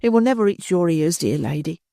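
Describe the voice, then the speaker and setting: A woman's voice: fairly low pitch, expressive, a little fast, gravelly, warm tone, no echo, studio quality. A woman voicing a desperate, frightened young woman of the streets in an agitated, pleading way.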